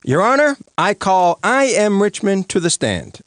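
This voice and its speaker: A man's voice: high-pitched, big pitch swings, unhurried, fairly bright tone, slight background noise, heavily acted. A man voicing a pompous cartoon prosecutor who questions in a dramatic, grandiose way. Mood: self-important. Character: pompous, theatrical male prosecuting lawyer. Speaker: lawyer character. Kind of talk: animated courtroom cartoon voice acting.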